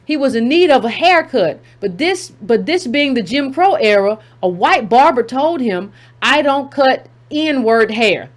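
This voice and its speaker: A woman's voice: sits high, lively intonation, unhurried. A woman hosting a commentary channel, reading a text aloud and breaking in with emphatic asides, repeating key phrases for effect.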